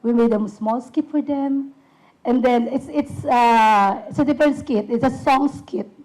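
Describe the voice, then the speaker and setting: A woman with a husky voice giving a hesitant, casual talk about her puppet ministry, with many fillers and restarts. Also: fairly high pitch, unhurried, a little mellow, rough recording. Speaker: puppet ministry team member. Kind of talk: casual presentation.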